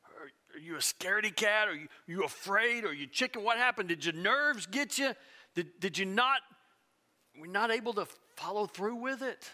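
A middle-aged man preaching a sermon from a church stage, animated and swinging between loud emphasis and hushed tones. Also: high voice, normal speed. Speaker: pastor.

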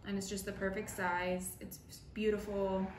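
A woman's voice: mid-range voice, little pitch movement, moderate pace. A young woman hosting a casual home baking tutorial, talking through each step in a friendly, chatty way as she works in her kitchen. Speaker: home baker and channel host. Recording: echoey kitchen with faint noise.

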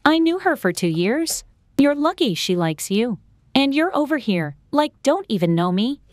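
A woman's voice: high voice, big pitch swings, normal speed, darkish tone, no echo, clean studio sound. A woman playing an insecure but friendly girl gamer in an amateur scripted storytime drama, speaking earnestly. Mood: wounded. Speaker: voice actor in an animated storytime drama. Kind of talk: scripted amateur roleplay dialogue.

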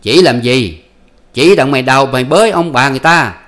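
A man's voice: mid-range voice, animated, normal speed, pitched above the performer's own voice, lightly acted. A man voicing an honest, simple peasant farmer who speaks bluntly and plainly. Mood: defensive. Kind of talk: storybook reading.

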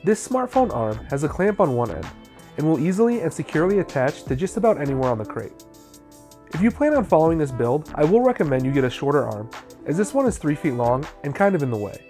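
Man with lively pitch. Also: a little fast, faint music behind. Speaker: how-to video host. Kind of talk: scripted how-to narration.

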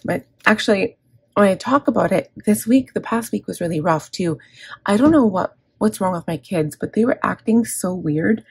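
Woman, middle-aged planner vlogger, gravelly and husky throat, candid rambling chat with self-corrections. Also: medium pitch, expressive, moderate pace, no echo. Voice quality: gravelly, scratchy. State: scratchy, gravelly throat from inhaler. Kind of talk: casual plan-with-me chat. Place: indoors at a desk.

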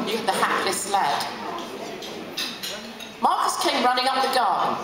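A middle-aged woman with an English accent gives a theatrical, dramatic book reading aloud to an audience. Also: medium pitch. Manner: expressive, theatrical. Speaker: reader. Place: large hotel function room, on stage. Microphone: stand mic at lectern through PA, filmed from audience. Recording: very echoey hall, audience noise.

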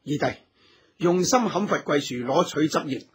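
An actor playing a protective, heroic elder brother in a radio drama, firm and declamatory, with a Hong Kong Cantonese accent. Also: male voice, fairly high pitch, animated, normal speed, slightly rough. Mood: reassuring.

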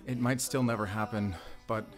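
Music plays behind a fairly low male voice. It is a man acting a tender, vulnerable forest-dwelling father in an intimate audio roleplay. He confesses his love haltingly and softly hesitant. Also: fairly even intonation, lightly acted. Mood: yearning.

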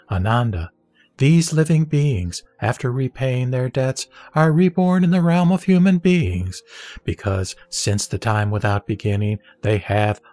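A man reciting Buddhist scripture from a script in a solemn, measured, formal delivery. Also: on the low side, darkish tone, no echo. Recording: dry voice over background music. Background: music.

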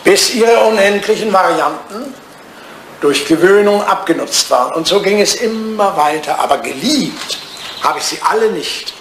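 An old aristocrat with a high voice in a vintage radio play, recorded in a roomy space with noise behind him. He is theatrical and teasing, a wistful lord used to giving orders. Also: animated, moderate pace, fairly bright tone. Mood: nostalgic, tender. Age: older. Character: aging English lord, whimsical, nostalgic, imperious with his butler. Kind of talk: 1980s German radio play acting. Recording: roomy sound with clear background noise.

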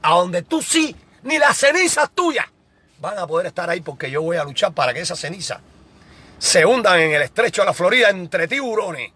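A middle-aged man with a Cuban accent, hosting a heated, insulting video rant from a small room, repeating himself and bursting into shouts. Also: sits high, sing-song, moderate pace, husky. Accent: Cuban Spanish. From Cuba. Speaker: show host.

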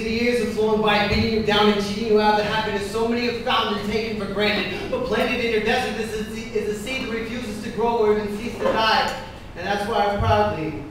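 Male slam poet, emphatic rhythmic phrasing, impassioned, distant mic, faint background noise. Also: high-pitched. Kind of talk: spoken-word poetry performance. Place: indoor poetry slam venue. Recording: echoey room from afar, faint noise.

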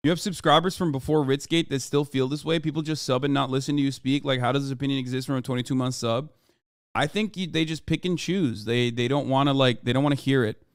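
A man's voice: medium pitch, steady tone, quick pace, clean tone, no echo. A man in his thirties giving emphatic, profane, lecturing political commentary on a livestream into a close desk microphone. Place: small room at home, indoors.

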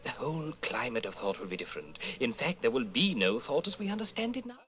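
An actor playing a zealous, smug party intellectual who lectures with enthusiasm in an old-time radio style drama. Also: male voice, medium pitch, big pitch swings, fast talker, fairly bright tone.

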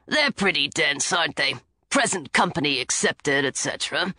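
A woman doing a gruff, grumbling dwarf god chauffeur. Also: sits low, animated, normal speed, bright, forceful tone, dry recording, studio quality, voice pushed down, exaggerated voice. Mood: blunt. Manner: grunting, blunt, belching.

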